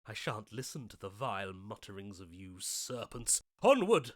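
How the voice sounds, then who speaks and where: An actor voicing a grandiose, lovesick romantic hero in a video game, with florid, theatrical, archaic declamation. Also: male voice, on the low side, sing-song, normal speed, no echo, studio quality. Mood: elated hope.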